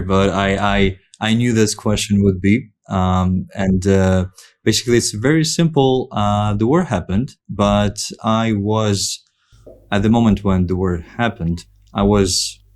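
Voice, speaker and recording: A man from Ukraine with a Ukrainian accent, talking casually and reflectively as a podcast guest, pausing often as he thinks. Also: lowish voice. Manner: hesitant, reflective, with frequent pauses. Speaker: podcast guest, photographer and content creator. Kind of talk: casual conversational interview.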